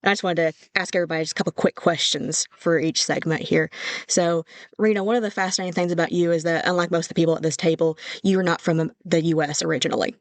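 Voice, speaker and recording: Young woman host musing out loud, friendly and chatty, dry close sound. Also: fairly low pitch, steady tone, fast, dry recording. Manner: friendly, reflective, thinks aloud. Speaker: podcast host. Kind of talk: casual conversation.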